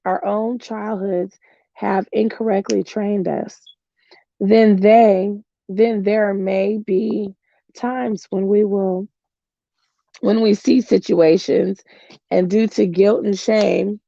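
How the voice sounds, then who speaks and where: A middle-aged woman reading a self-help book aloud in a slow, earnest way, tired and with her voice going hoarse. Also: medium pitch, steady tone, mellow, no room sound. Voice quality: gravelly. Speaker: book reader. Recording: dull lo-fi home recording.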